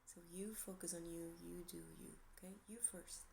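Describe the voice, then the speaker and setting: A woman's voice: fairly low pitch, steady tone, normal speed, creaky, background noise. A woman from Portugal with a Portuguese accent, chatting casually to camera as she gives a tarot reading in a meandering way. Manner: chatty, meandering, light laughs. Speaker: tarot reader.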